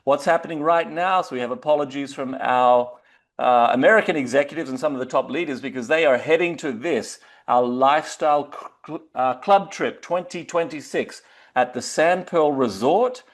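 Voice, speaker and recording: A man's voice: medium pitch, moderate pace. A man with an Australian accent hosting a webinar, talking loosely and casually with frequent fillers. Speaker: webinar host. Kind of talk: casual presentation.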